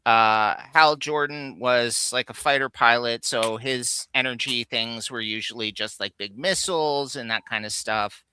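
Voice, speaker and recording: A man's voice: medium pitch, a little slow, few pauses, bright, forceful tone, slight background noise. A man in casual, explanatory conversation as a co-host on a geek talk stream. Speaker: guest co-host on a geek countdown livestream. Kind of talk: casual chat.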